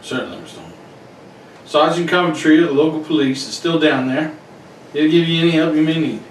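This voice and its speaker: A man's voice: a little high, expressive, brisk, natural voice. A man playing a proud, defensive wealthy husband with a blunt, formal manner in a radio play. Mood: grave. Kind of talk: amateur radio-play acting. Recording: roomy, distant recording with faint noise.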